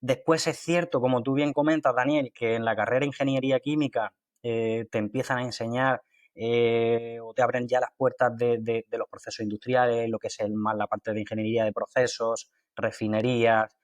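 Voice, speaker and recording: A man's voice: mid-range voice, level tone, no echo. Andalusian man with a dry, close sound. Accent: Andalusian Spanish from Málaga. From Málaga, Spain. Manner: halting, with many fillers and restarts. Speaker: guest, water industry engineer. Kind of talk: conversational interview.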